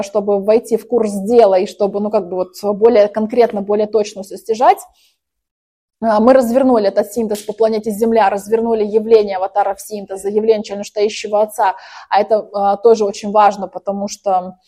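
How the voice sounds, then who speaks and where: A woman's voice: medium pitch, warm tone. A woman leading a group spiritual practice, first intoning set phrases, then explaining at length in a chatty way with many fillers. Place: hall with a group.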